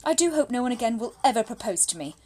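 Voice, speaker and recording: A woman playing an anxious, spirited young Victorian gentlewoman, confiding in her brother through letters in a formal, genteel and expressive dramatic monologue. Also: on the high side, fast talker. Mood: distressed, shaken.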